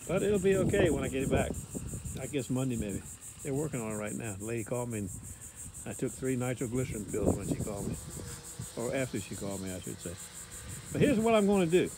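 A man's voice: medium pitch, animated, quick pace. An older man with an American accent gives a casual, rambling walk-through in a folksy, plain-spoken way, as a vlogger filming on his phone. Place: inside a house under renovation, later outdoors. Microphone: handheld phone. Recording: echoey rooms, background noise, handheld.

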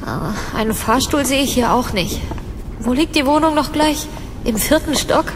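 A woman's voice: high-pitched, lively intonation, moderate pace, noisy background. A young actress playing a sceptical, sarcastic young mother in naturalistic audio drama dialogue. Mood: uneasy. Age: young adult. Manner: dry, teasing sarcasm. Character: sceptical, sarcastic young mother apartment-hunting with her boyfriend. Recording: studio audio drama with music underneath.

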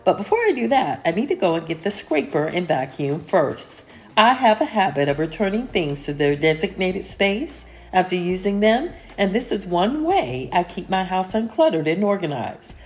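A middle-aged woman giving a calm, step-by-step voice-over of her home cleaning routine. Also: lowish voice, normal speed. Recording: voice-over with soft music behind.

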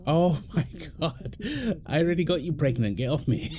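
A man's voice: fairly high pitch, normal speed, background noise, close to an everyday voice. A man playing a playful, doting husband in an intimate audio roleplay, teasing and affectionate, full of exclamations. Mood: amused, flustered. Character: playful, doting husband apologizing to his pregnant wife.

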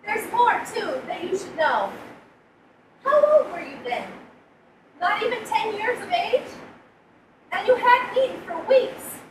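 A woman reading a story aloud, voicing a gentle, earnest woman translator who speaks carefully to a child. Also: very high voice, big pitch swings, slow pace, long pauses, pitched above the performer's own voice, exaggerated voice. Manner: careful, explanatory. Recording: roomy, distant mic, background noise.

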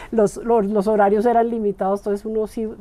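A woman in her forties from Colombia, talking as an interview guest in a relaxed, thoughtful way and laughing easily. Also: mid-range voice, moderate pace. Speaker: interviewed guest, club member. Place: bright room with large windows, indoors.

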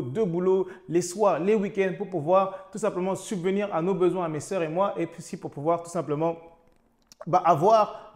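A man with a fairly high voice talks straight to the camera about making money, in the manner of an online coach. He addresses the listener directly and often stops to ask them questions. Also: darkish tone. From France, raised in Côte d'Ivoire. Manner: addresses viewer informally, with repeated questions and restarts. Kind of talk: direct-to-camera motivational talk.

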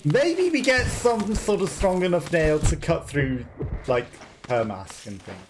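Man, lively swooping game commentary. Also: a little high, a little slow, music in the background. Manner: playful, musing aloud. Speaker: let's play host. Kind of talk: casual gameplay commentary. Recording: clearly noisy game stream with clicks.

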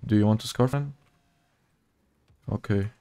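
A man with a Portuguese accent, a native Portuguese speaker, giving casual gameplay commentary in low-key asides to himself. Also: a little slow, mellow, no room sound. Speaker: gaming video creator.

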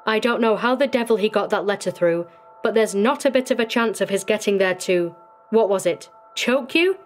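A woman's voice: mid-range voice, moderate pace, faint music behind, lightly acted. A woman voicing a hearty, self-assured male prison warden in a dramatic story reading. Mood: dismissive.

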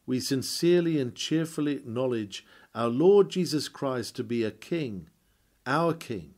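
A man reading a scripted historical sermon aloud in a measured, solemn and formal oratorical manner. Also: medium pitch, expressive, darkish tone.

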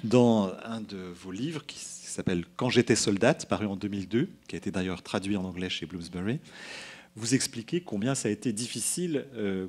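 A man hosting a public interview, asking long, measured questions into a lectern microphone in a lecture hall. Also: mid-range voice, a little mellow. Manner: measured, asks long questions, quotes from a book. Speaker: host, interviewer.

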